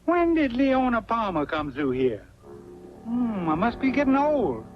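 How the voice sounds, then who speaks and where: An actor playing a fussy, forgetful old gatekeeper, querulous and folksy, in 1940s film style. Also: male voice, extremely high pitch, huge pitch swings, measured pace, loud music behind the voice, full character voice. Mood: puzzled, nosy. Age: older. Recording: dull 1940s film soundtrack from VHS. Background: prominent film score.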